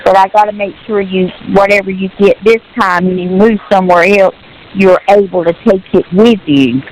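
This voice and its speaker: An older woman on a personal phone call, speaking casually and earnestly giving advice in a halting, repetitive way. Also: medium pitch, fairly even intonation. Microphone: telephone. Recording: hissy, noisy phone call.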